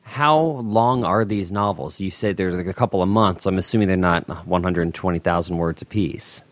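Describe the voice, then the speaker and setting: Man, podcast host, lowish pitch, dry, chatty, self-deprecating. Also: moderate pace, no echo. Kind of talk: casual interview chat.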